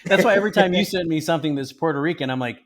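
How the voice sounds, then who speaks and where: A man's voice: fairly high pitch, very fast. A man co-hosting a casual podcast, swearing freely, laughing often and turning earnest when talking about friendship and trust. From Mexican-American. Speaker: podcast co-host. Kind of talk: casual chat.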